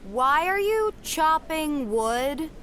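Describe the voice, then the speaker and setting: A woman's voice: high-pitched, sing-song, drawn-out words, a bit bright, a little hiss or hum, exaggerated voice. A woman playing a determined, feisty young girl with a blunt, youthful manner in a family audio drama. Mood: puzzled.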